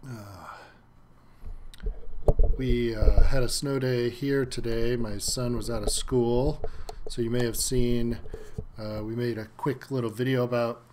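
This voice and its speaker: A man's voice: mid-range voice, a little slow, pauses often, noisy background. Guy in his 30s doing a chill live stream, bit stuffy from a cold, lots of ums. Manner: relaxed, chatty, many fillers, greets viewers by name. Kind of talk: casual live stream chat. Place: at home.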